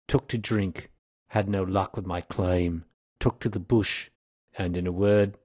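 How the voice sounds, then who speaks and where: A man's voice: low voice, darkish tone, dry recording. A man voicing a weary, grave old man confessing his past in an audiobook story. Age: older. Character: weary, dying old man, confessed former highway robber.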